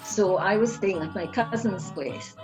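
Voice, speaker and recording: An older woman with a Trinidadian accent, telling her life story in an interview, in a reflective, unhurried way. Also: medium pitch, music in the background, poor audio. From Trinidad and Tobago.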